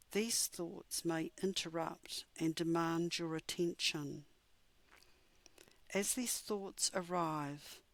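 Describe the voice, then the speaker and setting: A woman leading a scripted guided meditation in a soothing, measured manner with deliberate pauses. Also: low-pitched, no echo.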